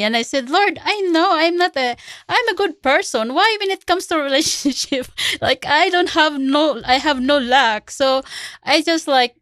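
A woman in her sixties speaks as a guest in a radio interview, her voice sitting fairly high. She tells her story earnestly and laughs often. The mic sound is close and dry. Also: a bit bright, no room sound. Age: 60s. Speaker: charity CEO, guest.

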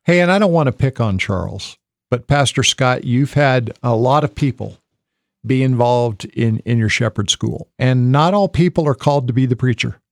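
A man's voice: medium pitch, normal speed, no room sound, clean studio sound. A man co-hosting a radio talk show, asking questions in a chatty, eager way that stumbles and restarts. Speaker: radio co-host.

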